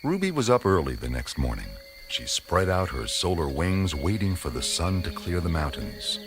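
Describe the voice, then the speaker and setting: A man narrating a scripted radio drama as a storyteller, with deliberate phrasing. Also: deep voice, very animated. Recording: loud music under the voice.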